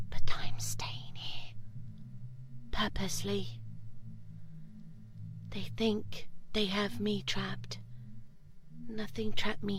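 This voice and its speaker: Woman voicing a slow, curious slime girl. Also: medium pitch, a little hiss or hum. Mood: amused, confident. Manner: halting broken English, slow deliberate phrasing. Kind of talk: intimate audio roleplay.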